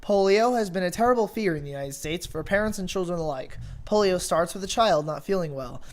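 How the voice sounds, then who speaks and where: A woman's voice: fairly low pitch, lively intonation, a little fast, soft background music. A young woman reading scripted news as an anchor in a mock newscast. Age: young adult.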